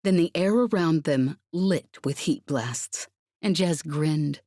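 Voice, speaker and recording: A woman with a low-pitched voice narrates an audiobook. Her scripted reading is dramatic, and the sound is very dry with no room around it. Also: slow, dark tone, no room sound.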